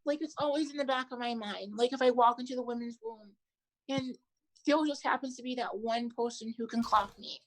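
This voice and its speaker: A woman's voice: fairly high pitch, brisk, rough recording. A woman on a podcast panel talking earnestly in casual chat, leaning on "like" a lot. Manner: earnest, heavy use of "like".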